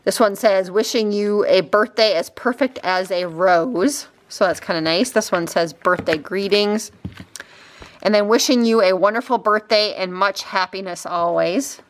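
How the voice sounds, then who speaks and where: A woman chatting casually and a bit ramblingly as she shows craft finds, her throat slightly raspy. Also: mid-range voice, unhurried. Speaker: crafting vlogger. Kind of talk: casual chatty haul show-and-tell.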